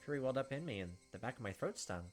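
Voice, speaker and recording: A man's voice: medium pitch, sing-song, rapid-fire. A man hosting a let's play, reading game text aloud dramatically with casual asides. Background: game music.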